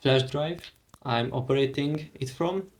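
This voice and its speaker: A young man whose first language is Hungarian, casually thinking aloud with pauses and fillers as he walks through a computer setup. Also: medium pitch. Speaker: tech vlogger. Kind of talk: casual screen-recorded walkthrough.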